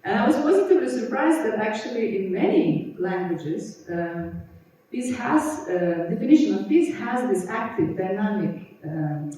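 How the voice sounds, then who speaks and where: A woman's voice: medium pitch, big pitch swings, moderate pace, dark tone. A woman whose first language is Russian, speaking on a conference panel and reflecting aloud as she searches for her words. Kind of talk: panel discussion. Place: conference hall. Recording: echoey hall, distant mic.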